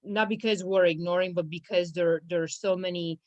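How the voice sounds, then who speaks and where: Romanian-born woman with low voice. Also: steady tone, a little fast, a little mellow, no echo, lo-fi sound. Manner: hesitant, many fillers and restarts, laughs easily. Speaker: law firm business manager. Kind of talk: casual interview.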